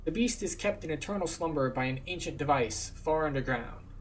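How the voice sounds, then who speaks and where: A man's voice: a little high, lively intonation, a little fast, slight background noise, lightly acted. A man voicing an anxious fantasy game figure who warns of a waking beast and asks for help.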